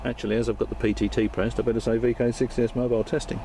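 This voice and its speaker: A man's voice: mid-range voice, little pitch movement, very fast, warm tone, a little hiss or hum. A man chatting casually and talking on air as an amateur radio operator, with a hesitant, dryly joking manner. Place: vehicle outdoors in Perth suburbs. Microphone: handheld camera.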